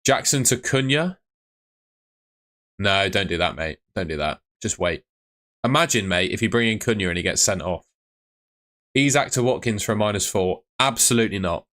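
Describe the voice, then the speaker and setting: A man's voice: lowish voice, lively intonation, normal speed, long pauses, fairly bright tone. A young man who is losing his voice, streaming from his room at home and answering viewer questions in a blunt, chatty way. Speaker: fantasy football streamer.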